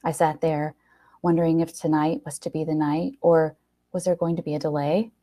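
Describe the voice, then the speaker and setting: A woman reading a short story aloud in an expressive, wry first-person narration with dramatic pauses. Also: deep voice.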